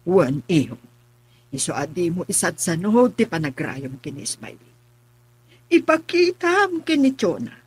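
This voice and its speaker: A woman playing a scheming, doting middle-aged mother in a melodramatic radio drama, with a husky voice. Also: on the low side, big pitch swings, a little slow, a little mellow, no room sound, exaggerated voice. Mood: concerned, coaxing.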